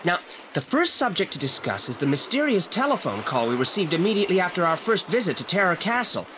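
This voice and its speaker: A man's voice: high voice, very animated, fast talker. Brainy teen boy sleuth, formal wordy way of talking, kinda pompous, audio play, faint hiss. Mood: self-assured. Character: precocious teenage boy detective, pompous and self-assured. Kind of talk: 1980s audio drama acting. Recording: slightly noisy old audio play transfer.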